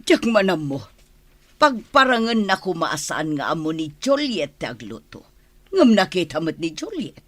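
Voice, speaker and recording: A woman's voice: on the low side, big pitch swings, a little slow, fairly bright tone, no echo, full character voice. An actress playing a suspicious, opinionated older mother-in-law in a radio drama, with a skeptical, judgmental manner. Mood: disapproving. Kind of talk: Ilocano radio drama acting.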